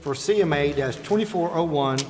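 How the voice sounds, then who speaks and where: A man chairing a city council meeting, reading agenda items in a measured, formal way. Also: on the high side, darkish tone. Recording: echoey council chamber with faint noise.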